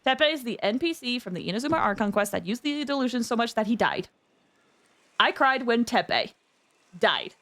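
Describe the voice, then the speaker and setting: A woman with a fairly high voice hosts a livestream. She chats casually with her viewers. Her delivery is expressive and emphatic, like someone happy to talk at length. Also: moderate pace. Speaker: livestream host.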